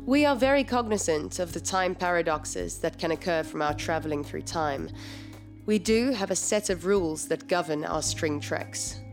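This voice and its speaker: A woman acting in an audio drama as a composed, businesslike project leader, speaking in a measured, formal, precise way. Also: lowish voice, expressive, over music. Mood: firm, formal. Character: composed, businesslike female project leader of a time-travel team.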